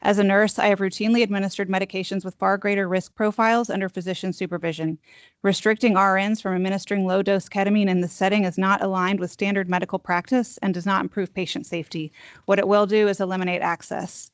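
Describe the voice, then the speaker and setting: A soft-voiced woman, a registered nurse, reading prepared public testimony in a firm, steady manner. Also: medium pitch, fast, no room sound. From Texas. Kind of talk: prepared testimony read aloud.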